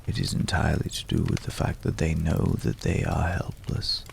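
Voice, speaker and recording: A man acting a mysterious, courteous stranger in an ASMR roleplay, speaking in a hushed, measured way with lingering pauses and a deep, gravelly voice. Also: completely flat delivery, slight background noise, full character voice. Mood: grave warning. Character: mysterious, courteous male stranger visiting a hunter's night camp. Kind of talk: ASMR roleplay, slow dramatic monologue.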